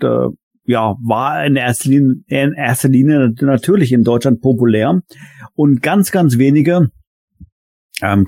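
A man with a slightly husky, mid-pitched voice speaks as a podcast panelist. His talk is casual but deliberate, with halting phrasing, and sounds dry. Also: mellow, no echo. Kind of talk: measured casual discussion.

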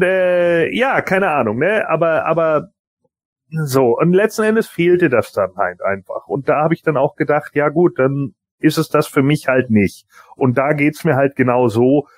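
A man's voice: a little high, measured pace, no room sound, studio quality. A man chatting informally as a podcast panelist, giving his opinions in colloquial language. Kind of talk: casual chat, personal opinions.

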